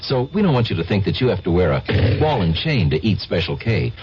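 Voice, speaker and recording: A man's voice: very animated, a little fast. A man reading a radio commercial in a friendly, conversational style. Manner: friendly, conversational sales pitch. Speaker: commercial announcer. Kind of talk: scripted radio advertisement. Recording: dull vintage radio broadcast, faint hiss.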